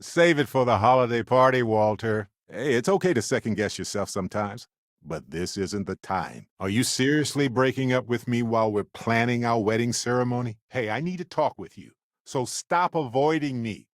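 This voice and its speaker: A male actor playing a wry, warm-hearted space explorer companion in a video game, with a wisecracking, conversational delivery. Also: medium pitch, moderate pace, dry recording, studio quality, close to an everyday voice. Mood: wry, casual, then exasperated.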